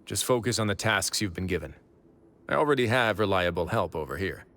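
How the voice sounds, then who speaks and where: An actor playing a composed, authoritative duke in a video game, speaking in a measured, commanding way. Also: male voice, low voice, animated, a bit bright, a little hiss or hum. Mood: calm, commanding. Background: game music.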